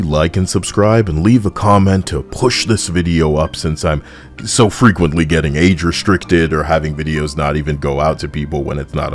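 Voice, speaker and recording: Deep voiced guy rambling about video games, sarcastic, music playing behind him. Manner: sardonic, rambling. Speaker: gaming commentary host. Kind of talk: casual scripted video game commentary.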